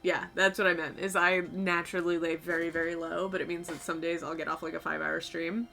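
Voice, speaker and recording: A young woman streamer with a low, fried voice, chatting casually with her viewers in a rambling, loose way, her voice a little tired and sore. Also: level tone, brisk, sharp tone. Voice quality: low voice with vocal fry. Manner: rambling, talks to chat, swears casually. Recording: faint music behind.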